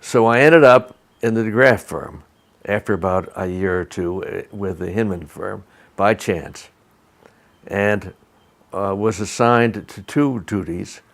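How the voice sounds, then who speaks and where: Older American man, low-voiced, reminiscing. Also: creaky. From Albany, New York, USA. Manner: measured, halting, digressive. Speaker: interviewee, retired lawyer recalling career. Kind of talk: oral history interview, reminiscing.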